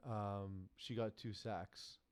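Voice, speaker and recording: A man co-hosting a sports podcast, talking in a casual, animated way with quick asides. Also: on the low side, clear, resonant tone, no echo. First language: not French. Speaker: podcast co-host.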